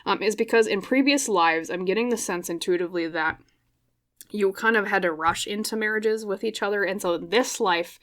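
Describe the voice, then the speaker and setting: A woman's voice: medium pitch, moderate pace, fairly bright tone. A woman giving an intuitive tarot reading into a microphone, her voice a little scratchy, rambling along and often checking in with "okay?". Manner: rambling and reflective, tag questions like "okay?". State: slightly hoarse, scratchy voice. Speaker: tarot reader.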